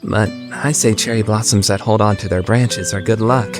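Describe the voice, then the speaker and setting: A man's voice: on the low side, steady tone, normal speed, music in the background, natural voice. A man voicing an awkward, earnest teenage schoolboy with a crush in an anime fandub, hesitant and easily flustered. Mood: gentle, earnest. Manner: flustered, hesitant, overthinking monologue. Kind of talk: anime fandub radio play.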